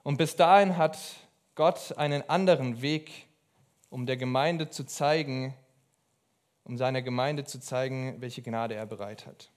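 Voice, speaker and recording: A young man preaching a sermon in an earnest, emphatic way, pausing between phrases. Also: medium pitch. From abroad.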